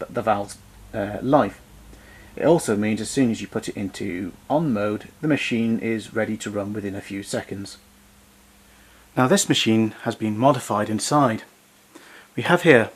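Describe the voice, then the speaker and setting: A man presenting vintage equipment in an unscripted demonstration, explaining things in a hesitant, pausing way. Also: on the low side. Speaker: vintage tape recorder collector presenting.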